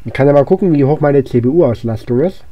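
A hoarse man with a cold giving casual, rambling gameplay commentary, with lots of fillers and restarts. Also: medium pitch, fast, no echo, dull audio. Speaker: let's play gamer.

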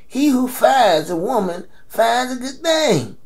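A man's voice: extremely high pitch, sing-song, slow, full character voice. A man playing a blunt, teasing old American grandfather in an audio drama, salty and slangy as he scolds. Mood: scolding.

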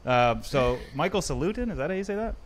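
A man in his thirties hosting a podcast in a studio full of aquariums, chatty and joking, a bit rambling, talking into a boom mic with headphones on. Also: expressive, fast. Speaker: podcast host. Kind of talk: casual podcast chat. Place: home studio with aquariums, indoors.

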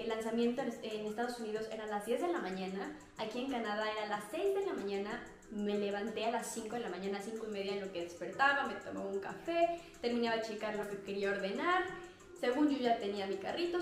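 A woman's voice: on the high side, animated, brisk. A young woman with a Mexican Spanish accent, chatting casually and gesturing as she reviews activewear for her vlog. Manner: bubbly, chatty, gestures a lot, laughs often. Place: small indoor room at home. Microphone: clip-on lapel mic. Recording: very echoey small room.

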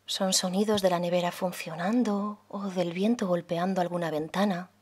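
A woman leading a guided meditation as a yoga guide, speaking softly and soothingly, unhurried, with pauses between phrases. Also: on the low side, fairly even intonation, breathy edge. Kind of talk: guided meditation, slow calm instruction.

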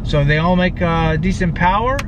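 A man's voice: sits high, moderate pace. A man in his thirties chatting casually to a dashboard camera from inside his car as a car vlogger, rambling with lots of fillers and saying he is losing his voice. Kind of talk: casual talk to camera while driving. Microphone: camera mounted on the dashboard. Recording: dull distant car-cabin audio with loud road noise.

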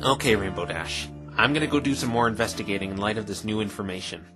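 A man voicing an earnest, bemused defense lawyer in a fan dub, asking measured questions with dry puzzlement. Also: on the low side, natural voice. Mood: reassuring. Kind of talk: fan dub voice acting of a visual novel. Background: music.

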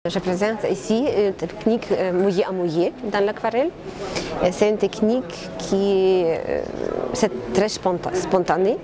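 A middle-aged woman watercolor painter explaining her technique at an art fair, halting and searching for words. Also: mid-range voice, crowd noise. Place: exhibition stand indoors. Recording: loud music behind.

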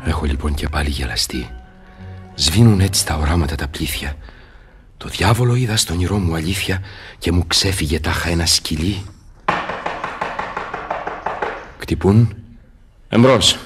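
An actor playing a weary, bitter, commanding old scholar in radio theatre, speaking verse in a grave, declamatory way. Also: male voice, low voice, animated, over loud music. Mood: irritated.

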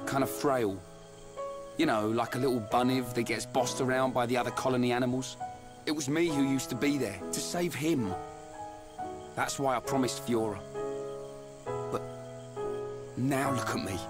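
An actor playing a brawny, loyal young warrior with a British accent and a blunt, informal way of talking in a video game. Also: male voice, mid-range voice, normal speed, pauses often, close to an everyday voice. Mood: dejected, self-blaming. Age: young adult. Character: brawny young male warrior, loyal, blunt, self-doubting but hearty. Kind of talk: video game cutscene acting. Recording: game music behind the voice. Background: game soundtrack music.

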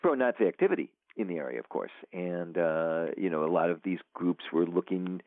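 A man's voice: low-pitched, animated, brisk, no room sound. A man, an author being interviewed, talking casually and rambling with many fillers and restarts. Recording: tinny phone line.

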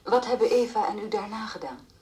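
An actress playing a tactful, methodical police inspector in a radio drama, speaking politely and formally. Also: female voice, mid-range voice, brisk, a little mellow, lightly acted. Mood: careful, composed.

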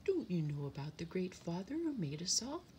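A woman voicing a kindly female turtle dove, gentle and cooing, in a children's storybook. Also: lowish voice, very animated, moderate pace, a little hiss or hum. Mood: calm, teaching.

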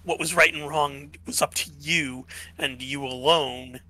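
A man's voice: a little high, steady tone, a little slow, bright, forceful tone, rough recording. A man co-hosting a podcast, musing through philosophy in casual chat, checking in with "right?" and losing his voice. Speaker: podcast co-host.

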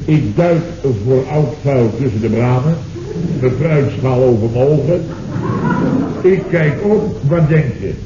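A man's voice: medium pitch, measured pace, close to an everyday voice. Actor as a blustering, flustered man, noisy old recording. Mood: indignant storytelling. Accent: Dutch. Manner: blustering, stammering, exclamatory storytelling. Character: flustered, indignant man recounting his own disastrous errand. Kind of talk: 1970s Dutch radio comedy acting. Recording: noisy, dull old radio recording, roomy.

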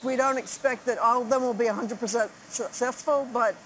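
A woman talking in an interview, giving a measured explanation. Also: on the high side, steady tone, a little hiss or hum, rough recording. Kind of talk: interview soundbite.

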